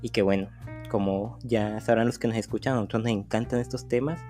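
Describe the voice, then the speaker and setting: A man co-hosts a podcast in a relaxed, friendly way, pausing and restarting now and then. His voice sits on the low side. Soft guitar music runs faintly behind him. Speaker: podcast co-host. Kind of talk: casual podcast interview.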